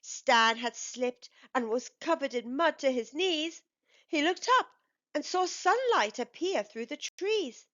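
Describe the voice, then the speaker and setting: High-pitched, animated woman reading a storybook. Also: dull audio. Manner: expressive, deliberate. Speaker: storyteller reading aloud for children. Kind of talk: rhyming picture book narration.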